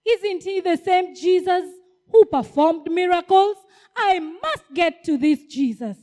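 A woman's voice: very high-pitched, animated, slow pace, in a large hall, exaggerated voice. Bartimaeus, put on by a woman, a blind beggar crying out. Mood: eager. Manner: pleading, cries out loudly. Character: blind male beggar, eager and desperate.

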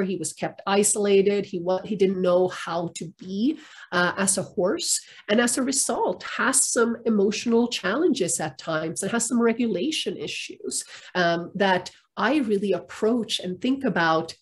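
A middle-aged woman from Finland, speaking as a therapist guest on a video call, thoughtful with many pauses and fillers. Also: medium pitch. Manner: thoughtful, many ums and restarts, light laughs. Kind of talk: conversational interview answers. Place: home room on a video call. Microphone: webcam or laptop mic, video call.

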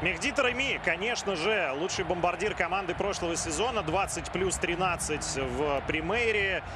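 A man's voice: high voice, animated, normal speed. A man co-commentating a football match, talking in an analytical, chatty way with many fillers. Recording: loud crowd noise behind. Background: stadium crowd.

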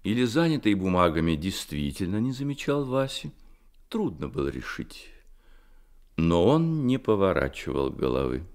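A man narrating a literary Soviet radio drama in a measured, expressive storytelling manner. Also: low voice.

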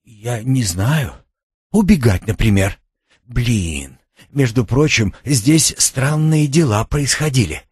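A man's voice: medium pitch, lively intonation, slow, slightly rough, no room sound, studio quality. A man voicing a frightened, snappish teenage girl in an audiobook, nervous and protesting.

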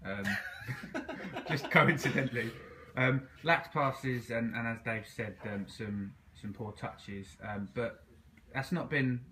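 A man's voice: medium pitch, slow pace, sharp tone. A man hosting a casual post-match interview for club TV, hesitant and jokey, recorded by a camera in a small clubhouse room. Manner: jokey, hesitant with many ums.

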